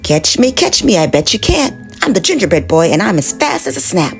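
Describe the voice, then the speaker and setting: A woman's voice: lowish voice, very animated, brisk, faint music behind. A woman voicing a cocky little gingerbread boy who taunts in a singsong chant. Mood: taunting.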